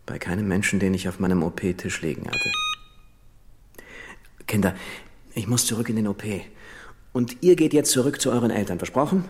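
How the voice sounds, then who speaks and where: A man's voice: lowish voice, expressive, normal speed, long pauses, big-room sound, slight background noise. A man playing a serious, kindly authoritative surgeon in a German children's radio drama, speaking soberly and frankly. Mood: firm, kindly. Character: serious, gently frank male surgeon, adult.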